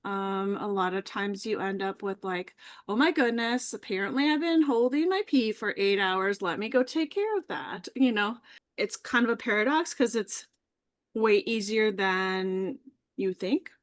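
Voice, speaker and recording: A woman's voice: medium pitch, unhurried. A woman guest talking casually on a live video call. She sounds easygoing and chatty, and laughs readily.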